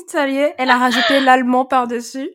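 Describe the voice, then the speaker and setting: A woman's voice: high voice, moderate pace. A woman hosting a podcast interview, asking probing, stacked follow-up questions. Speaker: podcast host.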